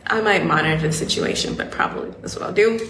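A woman's voice: lowish voice, fast, creaky, fairly bright tone, a little hiss or hum. A woman in her thirties from the United States, chatting casually as a podcast host, laughing often and filling her talk with "like" asides. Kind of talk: casual chat. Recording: echoey street recording with faint ambience.

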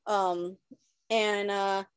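A woman's voice: mid-range voice, flat delivery, extremely slow, clean tone. A woman translator on a video call panel, telling an amused story. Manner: anecdotal, amused. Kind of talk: casual panel talk.

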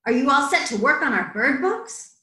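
An eager little storybook mole who is mad about birds, voiced by a woman reading aloud. The voice is high and lively, with keen exclamations, in an echoey room. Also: moderate pace, exaggerated voice. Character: eager male mole, storybook bird enthusiast.

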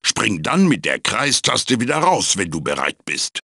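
Gravelly, swooping old mask spirit. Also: male voice, lowish voice, wildly swooping pitch, a little slow, bright, forceful tone, no room sound, clean studio sound, heavily acted. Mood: instructive. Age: older. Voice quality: raspy, gravelly. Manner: theatrical, emphatic, exhorting. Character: wise, bossy old magical wooden mask mentor. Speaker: guide and tutorial mentor. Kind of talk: video game character voice acting.

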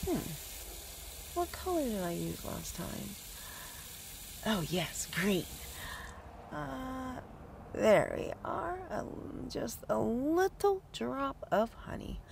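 A woman playing a scheming, greedy fake psychic in an audio drama, with a syrupy, drawn-out delivery. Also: on the high side, sing-song, vocal fry, darkish tone, slight background noise, heavily acted. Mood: gloating, contemptuous. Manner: syrupy, drawn-out delivery full of knowing pauses.

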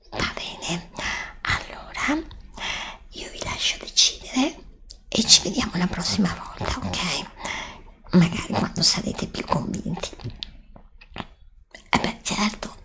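A woman doing a soft-spoken, often whispered ASMR roleplay as a travel agent, breathy and hesitant, close to the microphone. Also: fairly low pitch, animated, slow pace, long pauses. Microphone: desktop USB microphone, close.

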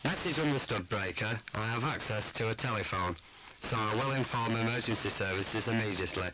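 A male actor plays a calm CB operator in an instructional tape drama. His voice is on the low side and businesslike, heard through a clearly noisy radio transmission. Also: a little fast. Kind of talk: acted radio call.

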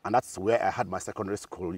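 A middle-aged man with a Nigerian accent talks as an interview guest outdoors on a lapel mic. He is animated, jumps up in pitch when telling a story and laughs easily. The sound is dry, with the voice a little distant from the mic. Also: quick pace, slightly rough, no echo. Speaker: guest professor. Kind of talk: interview conversation. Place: outdoor garden set, seated.

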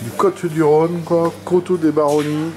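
A man's voice: fairly high pitch, flat delivery, measured pace, crowd noise. Offhand man talking while driving. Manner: offhand, slangy commentary. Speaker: vlog co-host. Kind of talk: casual family travel vlog. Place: driving a car. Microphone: camera held by passenger.

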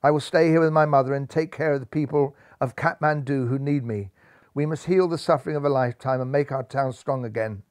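A man voicing a gentle, brave young animal princess in a storybook, earnest and tearful. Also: medium pitch, fairly quick, no room sound, clean studio sound, natural voice. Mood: sad resolve.

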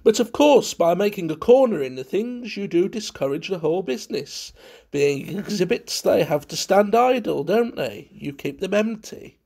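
A man's voice: sits high, expressive, moderate pace, gravelly, darkish tone, pitched above the performer's own voice, full character voice. A man voicing a flamboyant, affected society wit in a storybook, with theatrical, gleeful, lofty prattle. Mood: ecstatic. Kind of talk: dramatised storybook reading.

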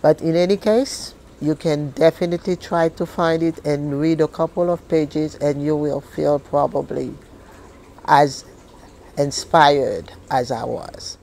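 A woman in her sixties speaking in an earnest, deliberate and sometimes halting way, recorded outdoors. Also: low-pitched, steady tone, warm tone. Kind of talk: personal testimonial and reading aloud from a book. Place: outdoors in a leafy garden.

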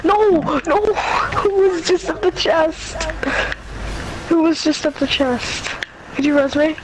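A woman's voice: extremely high pitch, slow pace, noisy background. A woman streaming a raid with viewers, laughing and crying out playfully as she keeps getting knocked down. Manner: laughing, exclaiming and complaining playfully. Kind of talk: casual gaming voice chat.

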